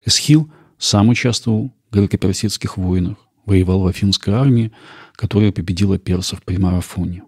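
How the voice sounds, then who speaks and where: A man hosting a podcast with measured, explanatory scripted documentary narration. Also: low voice, a little mellow, breathy edge, dry recording, studio quality. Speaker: podcast host.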